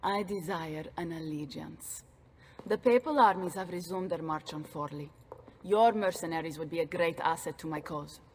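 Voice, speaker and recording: Poised low-voiced noblewoman. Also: normal speed, darkish tone, slight background noise. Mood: poised, entreating. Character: proud noblewoman leader, formally seeking an ally. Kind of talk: dramatic video game acting. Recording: long hall reverb, faint music.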